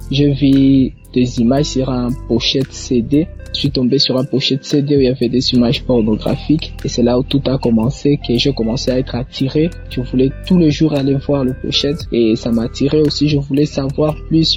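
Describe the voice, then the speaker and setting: A young man with an eastern Congolese French accent gives a personal religious testimony, haltingly, repeating words as he goes. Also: medium pitch, a little mellow. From eastern Democratic Republic of the Congo. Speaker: church member giving testimony. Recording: muffled lo-fi audio under faint music. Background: faint music.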